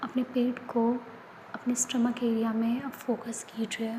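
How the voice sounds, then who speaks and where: Slow soft-spoken woman guiding meditation. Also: a little high, little pitch movement, a little hiss or hum, low-quality recording. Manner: slow, soft, often whispered instructions. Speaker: yoga nidra guide. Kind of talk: guided meditation.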